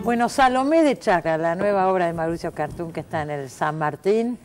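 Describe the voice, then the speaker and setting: An Argentine woman hosting a conversational interview, engaged and quick to interject agreement. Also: lowish voice, expressive, over music. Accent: Argentine (Rioplatense). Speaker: television interviewer and host.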